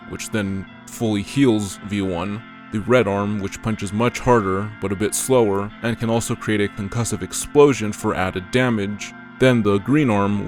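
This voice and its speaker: A man reading scripted narration for an analysis video in an explanatory, measured way. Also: lowish voice. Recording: music behind the voice.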